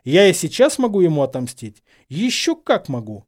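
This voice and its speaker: A man's voice: on the high side, animated, brisk, dark tone, close to an everyday voice. A man voicing a hot-headed, stubborn teenage wizard boy in an audiobook, blunt and indignant. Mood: angry. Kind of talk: dramatised fan fiction audiobook.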